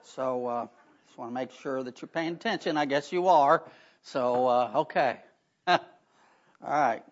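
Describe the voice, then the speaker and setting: A man preaching a sermon in a church, hoarse from allergies and talking in a folksy way with chatty asides. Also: medium pitch, unhurried. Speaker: pastor. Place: church sanctuary. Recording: dull lo-fi recording.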